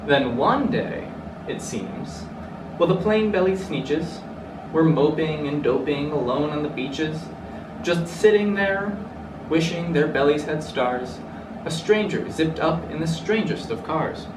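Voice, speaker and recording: A man's voice: on the high side, expressive, a little slow. Distant, roomy young man reading a storybook. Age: young adult. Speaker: children's story reader. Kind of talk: storybook read-aloud in rhyme. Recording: roomy, distant mic, clearly noisy.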